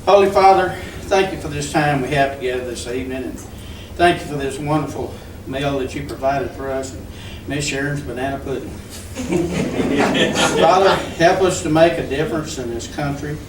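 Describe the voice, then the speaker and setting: A man, a pastor, leading an earnest, measured prayer before a community meeting. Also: a little high, background noise. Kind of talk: spoken prayer. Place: community center hall. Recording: echoey hall from far off.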